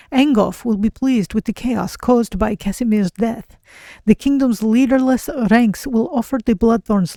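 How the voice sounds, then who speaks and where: A woman voicing a careful, observant female cat-folk adventurer in a game playthrough, speaking in deliberate, measured phrases as if to herself. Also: fairly high pitch, warm tone, no echo. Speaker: streamer voicing her game character. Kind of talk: in-character game playthrough narration.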